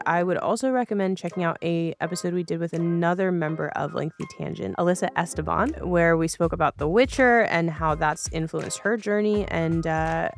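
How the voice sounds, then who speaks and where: A woman hosting a podcast in a casual conversational style, thoughtful and wordy as she rephrases ideas. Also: on the low side. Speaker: podcast host.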